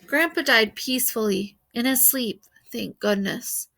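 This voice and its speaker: A woman narrates a children's novel as a read-aloud storyteller. Her voice is slightly high and bright, and she reads at an unhurried pace with expression. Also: gravelly.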